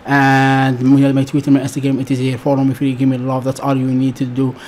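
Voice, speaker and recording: A man in his thirties from Algeria with an Algerian accent, hosting a casual reaction video in halting, searching English with many restarts, close to a desk mic in a small room. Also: mid-range voice, monotone, a little mellow, a little hiss or hum. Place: small dark room indoors. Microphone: desk mic close to face.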